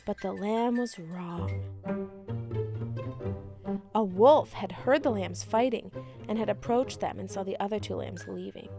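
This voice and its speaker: A woman's voice: medium pitch, unhurried, gravelly, long pauses. A woman narrating a children's fairy tale as a storyteller, reading from a script. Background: music.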